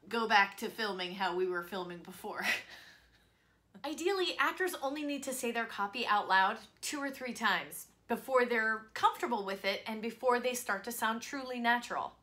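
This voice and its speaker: A woman in her thirties giving scripted instructional advice to camera as a voice-over coach, in an expressive presenter's delivery. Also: on the high side. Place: small home studio, indoors.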